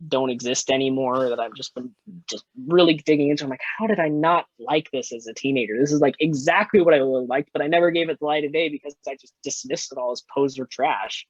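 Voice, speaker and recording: A man's voice: medium pitch, fast, no room sound. A young man in his twenties talks as a podcast guest, rambling and swearing freely. His voice sits mid-range, and the recording is dry and a bit dull, slightly lo-fi. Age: 20s. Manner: rambling, frequent "like" and "you know", swears freely. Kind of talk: casual chat.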